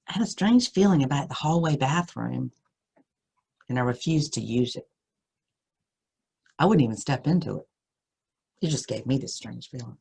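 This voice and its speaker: Woman reading a creepy story out loud, kinda raspy, really animated pitch, draws out the suspense. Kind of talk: dramatic first-person spooky story reading.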